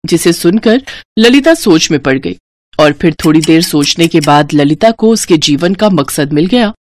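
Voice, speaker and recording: A woman narrating a scripted moral story in an expressive storytelling manner. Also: fairly low pitch, normal speed, no room sound.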